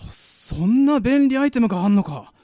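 A man's voice: very high-pitched, very animated, moderate pace, mellow. A man playing an impulsive, sensitive young man with colloquial, emotive speech in a radio drama. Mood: brash. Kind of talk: amateur radio drama acting. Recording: echoing reverb, music underneath.

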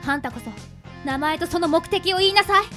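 A performer voicing a headstrong, bossy young girl with a quick temper, in a radio drama. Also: very high voice, sharp tone, faint music behind. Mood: angry. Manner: bossy, hot-tempered, commanding.

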